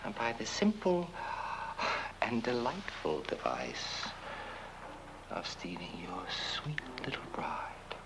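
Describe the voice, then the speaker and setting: An actor playing a scheming, bitter heir in a horror-comedy film, with an arch, drawling, mocking delivery. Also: male voice, mid-range voice, huge pitch swings, takes it slowly, pauses often, background noise. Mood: bitter gloating. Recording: film soundtrack with loud music.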